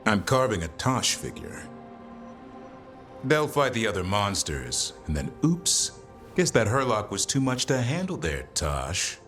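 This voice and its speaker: A deep-voiced deadpan fantasy warrior. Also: male voice, measured pace, faint music behind. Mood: dry teasing. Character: deadpan, dry-humoured male fantasy warrior. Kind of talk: video game voice acting.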